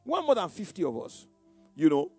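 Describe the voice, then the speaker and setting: A man's voice: sits high, wildly swooping pitch, normal speed, a little mellow, soft background music. An older man with a Nigerian Yoruba accent, preaching and recounting his past, who often repeats words and says "you know". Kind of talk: sermon-like personal testimony.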